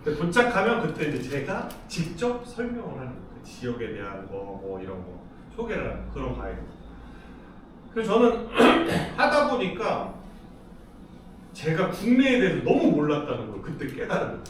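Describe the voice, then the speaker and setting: A man's voice: fairly high pitch, moderate pace, far from the mic, a little hiss or hum. Man telling stories in an echoey hall. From Seoul, South Korea. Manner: chatty, lively storytelling with frequent laughs. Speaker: lecture speaker. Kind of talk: casual storytelling lecture. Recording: echoey hall, speaker off-mic.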